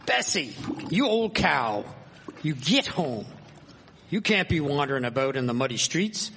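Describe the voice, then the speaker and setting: An actor playing a gruff but placid farmer husband in a live radio drama, with a gravelly, husky voice. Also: male voice, a little high, very animated, a little slow, a bit bright, a little hiss or hum, heavily acted. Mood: gruff scolding. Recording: echoey hall, live stage performance.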